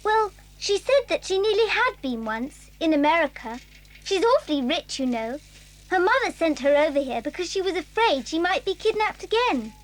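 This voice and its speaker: A young boarding-school girl, worried and earnest. The voice is extremely high and moves about a lot. The manner is hushed and urgent, as in a children's radio play. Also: very animated, moderate pace, low-quality recording. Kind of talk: children's radio drama acting.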